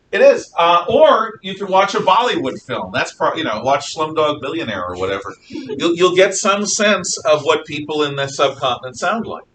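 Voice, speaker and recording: Male history teacher, bright forceful voice, swinging intonation, conversational classroom lecture, emphatic. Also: moderate pace. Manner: conversational, animated, emphatic explanations. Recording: noisy rumbling room, distant mic.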